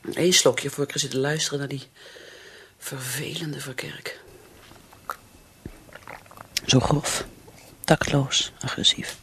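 A woman's voice: rumbling low, steady tone, fairly bright tone, exaggerated voice. An actress playing an anxious middle-aged working mother who secretly drinks, with a hesitant manner, in a radio drama. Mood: furtive, self-soothing. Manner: hesitant, halting, breathy asides to herself. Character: secretly drinking, anxious working mother hiding her habit behind politeness.

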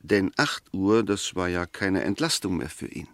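An actor playing a methodical, argumentative defence advocate in a 1960s radio drama, deliberate and emphatic. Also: male voice, low voice, animated, a bit bright, no echo. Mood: insistent, reasoning. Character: argumentative, methodical male defence advocate dissecting a murder case.

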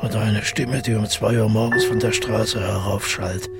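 An actor playing a brooding, haunted man who tells his past in a halting, intimate audio drama monologue with a husky, gravelly voice. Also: fairly low pitch, fairly even intonation, loud music behind the voice, lightly acted. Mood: tender, wistful. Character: brooding, haunted male first-person narrator recalling the past.

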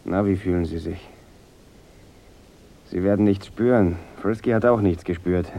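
An actor playing a bitter, menacing gangster out for revenge in a German radio drama. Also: male voice, low-pitched, measured pace, vocal fry, warm tone. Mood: cold menace. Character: bitter vengeful male gangster holding a gun. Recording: dull radio recording, noisy backdrop.

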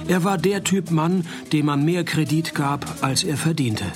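An actor playing a laconic, sarcastic private detective in a hard-boiled radio play. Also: male voice, a little high, a little slow, over music, close to an everyday voice. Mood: dry narrating. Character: deadpan, wisecracking male private detective narrator.

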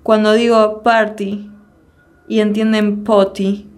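Woman w/ reading a poem out loud, slow and deliberate, lots of big questions. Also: mid-range voice, level tone. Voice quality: measured, declamatory delivery with rhetorical questions. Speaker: poetry reader. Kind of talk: dramatic poetry recitation.

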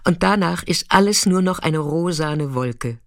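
A man performing scripted literary narration in a deliberate, dramatic way, sometimes dropping to a hushed near-whisper. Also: a little high, no room sound, clean studio sound.

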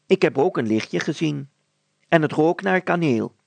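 A man voicing a thoughtful, earnest village grocer in a children's story, speaking deliberately. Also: fairly high pitch, lively intonation, gravelly, no echo, voice pushed up, heavily acted.

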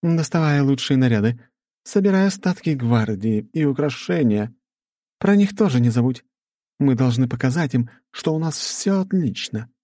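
A man's voice: medium pitch, expressive, moderate pace, mellow, no room sound, pitched above the performer's own voice, natural voice. A man voicing an exasperated, bossy demoness wife in an audiobook, scolding and commanding with emphasis. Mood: bossy, resolute. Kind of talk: audiobook character acting.

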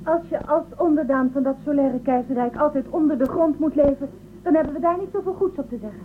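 A woman plays a young spaceship-born woman in a radio play, earnest and quick to protest. Her voice is high, and the recording is thin and phone-like with noise behind it. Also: close to an everyday voice. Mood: defiant, protesting. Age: 20s. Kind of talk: Dutch radio drama acting. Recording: tinny phone-like band, loud background noise.

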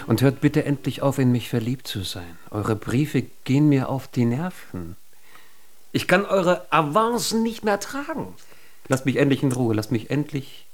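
An actor playing a contemptuous courtier who insults as he flatters, florid and biting, in an absurdist radio drama. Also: mid-range voice, exaggerated voice. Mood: disdainful.

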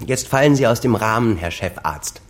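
Bitter, low-voiced grown son played by a man. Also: lively intonation, moderate pace, slight background noise, natural voice. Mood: bitter, contemptuous. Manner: reproachful, sardonic. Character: bitter, accusing grown son, wounded and stubborn. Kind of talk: German radio drama dialogue.

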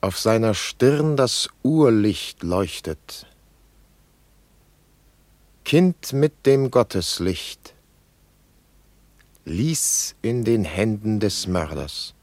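Solemn, very slow prophetic voice. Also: male voice, fairly low pitch, lively intonation, a little mellow, dry recording, heavily acted. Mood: solemn, visionary. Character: solemn visionary voice proclaiming like a prophet. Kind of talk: poetic radio drama declamation.

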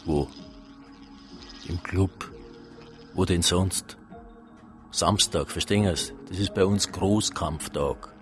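An actor playing a slippery, self-important nightclub manager in a radio drama, cocky and evasive, with a Bavarian accent. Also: male voice, low voice, takes it slowly, long pauses, faint music behind. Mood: indignant. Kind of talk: naturalistic radio drama acting.

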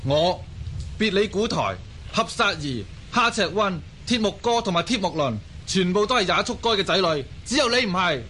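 An insolent, defiant youth in a Cantonese radio play. His taunting voice is high, over faint background noise. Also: lively intonation, moderate pace, pushed and bright. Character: defiant, scornful young half-brother, big and insolent.

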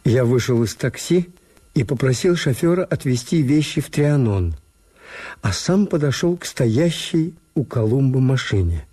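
An actor playing a world-weary hotel owner, narrating in a measured, literary way in a Soviet radio drama. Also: male voice, mid-range voice, lively intonation, dark tone, breathy edge, dry recording. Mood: reflective. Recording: music bed, Soviet radio production.

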